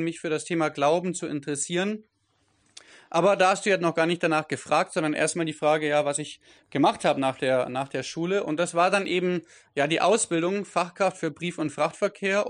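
High-voiced German man in his thirties. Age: 30s. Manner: rambling, self-correcting, frequent fillers. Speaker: guest. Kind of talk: conversational interview.

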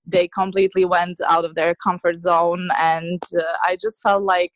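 A woman's voice: on the low side, little pitch movement, moderate pace, no room sound, poor audio. A woman in her thirties from Slovakia, answering interview questions about her dance group in a chatty way, with many fillers and restarts. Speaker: guest, dance teacher.

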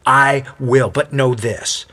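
A man voicing a bold, righteous, confrontational man with forceful, blunt delivery in a dramatized story. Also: fairly low pitch, lively intonation, normal speed, breathy edge. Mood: righteous, defiant. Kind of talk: acted storytelling dialogue.

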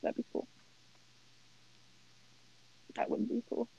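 A young woman hosting a casual call with friends, telling stories excitedly, swearing and giggling as she talks. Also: quick pace, lo-fi sound. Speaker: host of a friends' chat. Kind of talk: casual chat. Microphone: home computer mic on a call.

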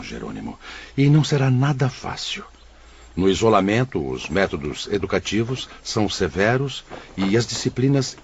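Benevolent elder brother of a spirit hospital: very low, swooping intonation, solemn radio drama delivery, muffled recording. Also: male voice. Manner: solemn, paternal, measured oratory.

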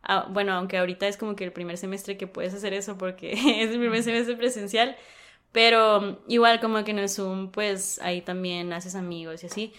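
A woman's voice: medium pitch, level tone, a little fast, fairly bright tone. A young woman, a university student, chatting casually as an interview guest, laughing often and using many fillers. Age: young adult. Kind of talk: casual chat.

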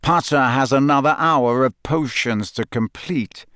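A cold mean potions teacher, silky sneer, draws words out slow, audiobook style. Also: male voice, medium pitch, sing-song, dry recording, clean studio sound, full character voice. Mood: curt, cold. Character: cold, menacing adult male potions teacher.

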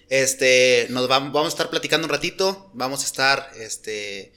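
A man's voice: mid-range voice, measured pace, pushed and bright. A man hosting a casual podcast, slangy and laughing, with many fillers. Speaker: podcast host.

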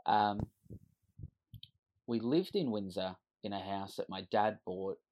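A man's voice: on the low side, slow pace, a little mellow. A man in his thirties with an Australian accent, a podcast guest telling his life story in an earnest, reflective way. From Western Sydney, Australia. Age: 30s. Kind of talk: candid personal storytelling.

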